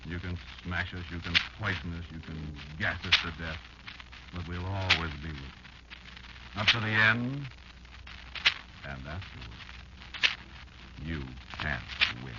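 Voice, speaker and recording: A man playing an eerie, knowing narrator in an old-time radio drama, telling his tale with deliberate, ominous pauses. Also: low-pitched. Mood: menacing. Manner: deliberate, ominous, conversational storytelling with pointed pauses. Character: eerie insect narrator, ominous, knowing, scornful of human giants. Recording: dull, very noisy old radio recording.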